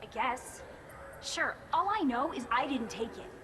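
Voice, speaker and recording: Game casting: young alien outcast woman, lively swooping delivery, indignant and defensive, background ambience. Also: high-pitched, unhurried, noisy background. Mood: aggrieved. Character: young female alien vagrant, defensive and aggrieved.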